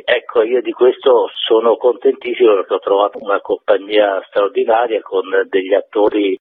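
A man, a theatre director, talking conversationally in a radio interview in a husky voice. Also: on the low side. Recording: thin narrow-band telephone line.

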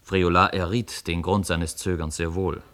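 A man narrating a scripted radio play as a measured, literary storyteller. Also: low voice, level tone, a bit bright.

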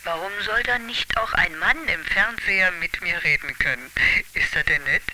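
A woman's voice: fairly low pitch, lively intonation, moderate pace, natural voice. A woman playing an affectionate, slightly puzzled old grandmother in a German radio drama. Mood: affectionate, musing. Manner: affectionate, musing. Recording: hissy, faintly noisy radio sound.

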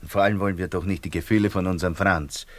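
A man's voice: low voice, fairly even intonation, rapid-fire, lightly acted. An actor playing a smug, opportunistic Viennese businessman in a radio play, wheedling with mock courtesy. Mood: unctuous, cajoling. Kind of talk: radio play acting in dialect.

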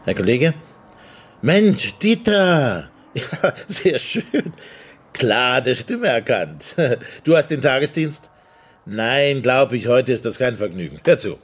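An actor playing a sharp-tongued, mocking state prosecutor in a naturalistic German radio drama. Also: male voice, mid-range voice, sing-song, slow pace, husky, full character voice. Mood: breezy, jovial. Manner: provocative, mocking. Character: sharp-tongued, needling male state prosecutor among old friends.

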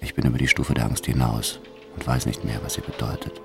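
A man's voice: very deep voice, flat delivery, a little fast, gravelly, music in the background. An actor playing a hardened, reckless French bank robber who tells his story in a cold, matter-of-fact, boastful way for a radio drama. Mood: matter-of-fact, hard. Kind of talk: first-person radio drama narration.

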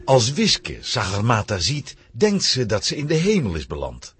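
A man with a husky voice narrating a children's audio drama as dramatic scripted storytelling. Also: medium pitch, huge pitch swings, normal speed, fairly bright tone, slight background noise.

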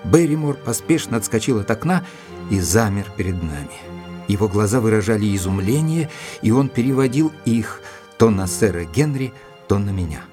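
An actor playing an earnest, mildly proud gentleman assistant to a detective, telling the story in a measured, literary way in an audio drama. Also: lowish voice, lively intonation, over music, lightly acted. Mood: hushed, suspenseful.

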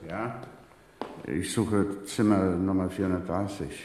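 A hesitant man in his fifties in a radio drama. His very low voice is polite and unsure, echoing in a long-reverberating hallway. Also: fairly even intonation, measured pace, darkish tone. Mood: uncertain, polite. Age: 50s. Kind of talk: radio crime drama acting. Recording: echoey hallway, slight noise.

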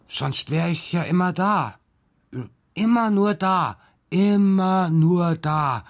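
Restless dreamer dad for children's audio drama: slow, expressive male voice, evasive, faltering, apologetic. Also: on the high side. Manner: hesitant, stammering, pleading excuses. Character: evasive, dreamy, restless father of a young girl. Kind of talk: children's radio drama acting.

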